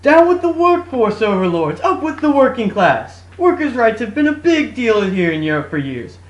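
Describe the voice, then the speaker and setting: A woman's voice: fairly high pitch, big pitch swings, moderate pace, muffled recording. A woman playing a downtrodden, defiant factory worker in a scripted puppet show speech. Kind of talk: rallying puppet show monologue. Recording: echoey room.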